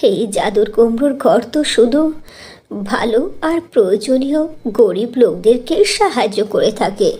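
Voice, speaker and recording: A woman voicing a kindly, selfless old guardian woman in a fairy tale cartoon, speaking in a gentle, instructive way. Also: fairly high pitch, animated, moderate pace, husky. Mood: kindly, solemn.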